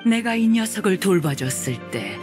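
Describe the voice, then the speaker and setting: Woman as an ancient immortal, lively swooping delivery. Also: mid-range voice, normal speed, lightly acted. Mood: fond storytelling. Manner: archaic, stately, amused. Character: ancient, playful, teasing female immortal adeptus. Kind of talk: Korean game voice acting. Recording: music behind the voice.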